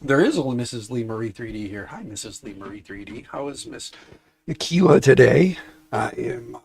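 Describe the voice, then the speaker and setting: A man hosting a casual livestream, congested and raspier than usual, rambling and repeating himself as he talks to his chat. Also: medium pitch, animated, moderate pace, darkish tone, a little hiss or hum. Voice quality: raspy, congested. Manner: mild swearing, offhand. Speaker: livestream host.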